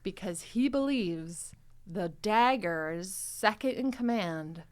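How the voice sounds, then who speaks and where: A woman hosting a podcast in a casual, chatty way, playful and quick to laugh. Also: mid-range voice, expressive. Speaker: podcast host and series creator.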